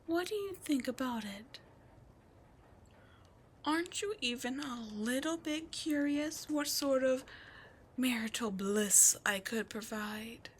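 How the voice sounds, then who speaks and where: A woman voicing a seductive, scheming female demon queen in an audio drama, teasing and taunting, dropping into breathy whispers. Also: sits high, lively intonation, slow, slight background noise.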